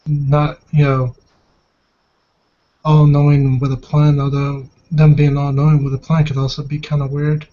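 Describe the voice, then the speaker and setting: A man's voice: fairly high pitch, monotone, a little slow. A man in casual, rambling, informal chat as a player in a tabletop roleplaying game. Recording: dull lo-fi voice recording.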